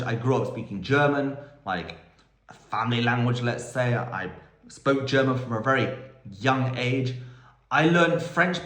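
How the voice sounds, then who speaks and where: A man's voice: medium pitch, fairly bright tone. Guy in his 30s, chatty q&a, goes off on tangents, roomy echo. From United Kingdom. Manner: enthusiastic, rambling with tangents. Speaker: language school owner and polyglot vlogger. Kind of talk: casual Q&A monologue. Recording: echoey room.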